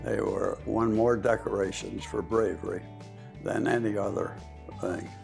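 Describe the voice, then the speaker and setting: Centenarian veteran, halting storyteller, gravelly and low-pitched. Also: male voice, fairly even intonation, darkish tone, soft background music. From Ohio, United States. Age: older. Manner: halting, with many pauses and fillers. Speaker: veteran guest. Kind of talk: recalling wartime memories.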